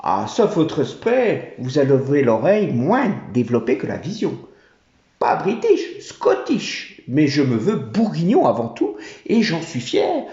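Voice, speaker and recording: A man's voice: on the high side, wildly swooping pitch, measured pace, a little mellow. A man voicing a fawning, chattering male monkey general who collects taxes. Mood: boastful. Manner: obsequious, chattering. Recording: roomy, dull home recording.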